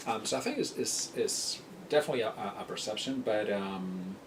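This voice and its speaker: A middle-aged man from Spain speaking reflectively in a documentary interview, with a hesitant, halting delivery. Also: expressive. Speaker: interviewee, university employee. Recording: noisy, rumbling, distant mic.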